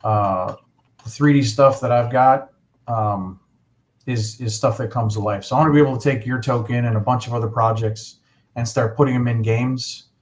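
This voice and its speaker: A man's voice: medium pitch, fairly even intonation, moderate pace. A man hosting a casual livestream, chatting enthusiastically and rambling with lots of fillers. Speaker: livestream host.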